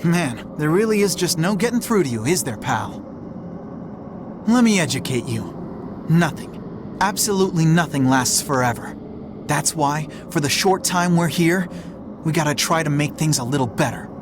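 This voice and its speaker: Guy doing cocky young game hero, frank and slangy, slightly high voice, noise in the background. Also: lively intonation, moderate pace, lightly acted. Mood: earnest, exasperated. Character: cocky, plain-spoken, good-hearted young male hero. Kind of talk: video game cutscene acting.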